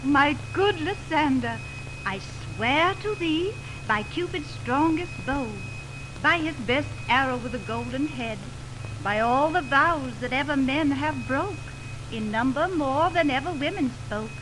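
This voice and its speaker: Young female lover, devoted and earnest, high-pitched, stagey verse for vintage radio drama with heavy surface noise. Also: expressive, a little slow. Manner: declamatory verse delivery. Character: Hermia, lovestruck young Athenian woman, earnest and devoted. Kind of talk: 1920s radio Shakespeare acting. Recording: noisy 1929 radio aircheck on film.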